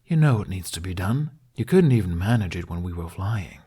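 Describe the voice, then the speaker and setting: A man's voice: low voice, animated, fairly quick, warm tone, slightly rough. A man voicing a cheerful male dragon companion in an audiobook, teasing and urging in a smug, eager manner.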